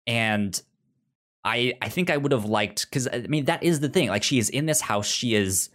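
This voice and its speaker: A co-host in a casual review chat, with a mid-pitched voice. The delivery is halting, with words repeated as each thought takes shape. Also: animated, a bit bright. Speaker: podcast co-host.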